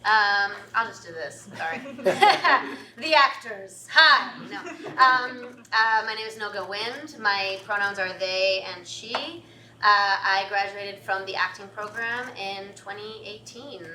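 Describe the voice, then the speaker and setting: A woman's voice: medium pitch, slow pace, bright, forceful tone. A woman introducing herself on a panel, hesitant with many fillers. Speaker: panelist, actor. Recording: echoey hall, distant mic, faint hiss.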